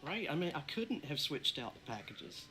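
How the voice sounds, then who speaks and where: A speaker giving calm, agreeable replies in a casual video conversation. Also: medium pitch, moderate pace.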